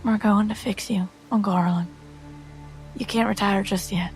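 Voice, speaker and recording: A woman's voice: medium pitch, steady tone, moderate pace, faint music behind, close to an everyday voice. A woman playing an alert young scout in a tabletop fantasy game, cautious and earnest with a lightly teasing way.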